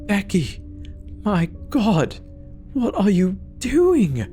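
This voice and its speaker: A man's voice: sits high, huge pitch swings, very slow, mellow, faint music behind, pitched above the performer's own voice, heavily acted. A man voicing an anxious, protective father in a horror story, speaking with urgent, pleading emotion. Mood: horrified.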